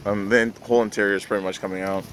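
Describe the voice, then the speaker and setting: A man's voice: fairly low pitch, little pitch movement, very fast, a bit bright. A man hosting an informal car chat, laid-back and slangy, with some swearing. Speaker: car channel host. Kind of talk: casual chat, informal interview. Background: faint vehicle and ambient noise.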